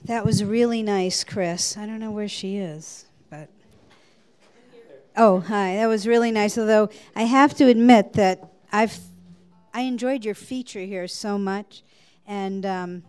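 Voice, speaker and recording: A woman's voice: mid-range voice, unhurried, smooth. A woman at an open mic chatting in a rambling, self-deprecating way on a stage mic, then singing her own song while playing guitar. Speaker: open mic singer-songwriter. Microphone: stage mic through a PA. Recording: loud guitar under the voice.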